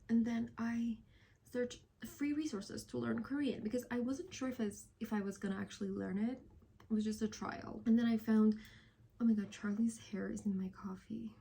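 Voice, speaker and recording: A woman's voice: on the high side, vocal fry, mellow, slight background noise. A young woman in her twenties with a light Persian accent, chatting casually and rambling to camera about learning languages. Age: 20s. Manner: chatty and rambling, self-deprecating asides. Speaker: vlogger. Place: indoors at home at golden hour, with iced coffee.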